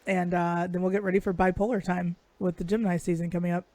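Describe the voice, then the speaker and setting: Woman, raspy, swears freely, dry close sound, chatty storyteller. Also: on the low side, little pitch movement, fast, warm tone, no room sound. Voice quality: raspy today. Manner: profane, storytelling. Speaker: podcast co-host. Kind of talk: casual chat.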